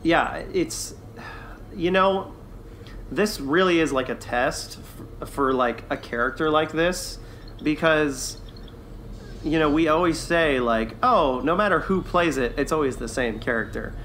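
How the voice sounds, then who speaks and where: A man's voice: fairly high pitch, a little slow, a bit bright, a little hiss or hum. A man co-hosting a podcast, talking in an enthusiastic, chatty way in a casual review discussion. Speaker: podcast co-host.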